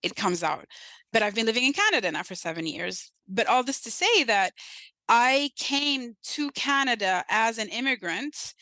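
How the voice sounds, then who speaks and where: A woman's voice: fairly high pitch, sing-song, moderate pace, pushed and bright, dry recording, poor audio. A woman from Sudan, probably in her thirties, with a Sudanese accent tinged with British. She speaks as a guest in a podcast conversation, earnestly and emphatically, and often checks in with "right?". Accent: Sudanese, with a light British lilt.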